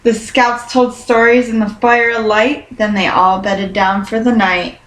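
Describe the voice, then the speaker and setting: A woman reading a children's picture book aloud in a careful, expressive storytelling manner. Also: fairly high pitch, measured pace, a little hiss or hum. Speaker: storybook reader. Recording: roomy, echoing sound.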